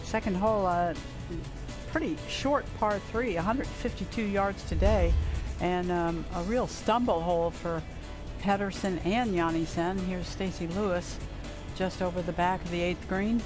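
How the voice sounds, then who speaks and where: A woman giving golf color commentary in a relaxed, conversational way, with frequent "uh" and "um". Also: fairly low pitch, measured pace, music in the background. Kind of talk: TV golf analysis.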